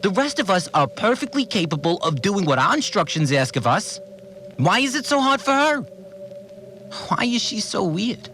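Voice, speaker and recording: An earnest, put-upon male cleaner rambles through his audio diary in a fairly high, very animated voice. He sounds naive and hesitant as he airs his grievances in this audio drama, with light music underneath. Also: moderate pace, low-quality recording. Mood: exasperated, puzzled. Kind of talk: audio drama monologue, conversational recorded log.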